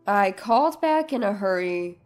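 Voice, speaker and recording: A woman's voice: medium pitch, expressive, unhurried. A woman reading a scripted first-person story as a narrator, with music behind her. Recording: music under the voice. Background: soft background music.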